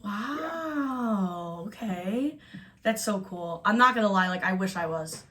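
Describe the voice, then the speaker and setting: A woman's voice: mid-range voice, slow pace. Chatty young woman hosting a podcast. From Buffalo, New York. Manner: chatty, animated, trails off and restarts. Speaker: podcast host. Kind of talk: casual chat.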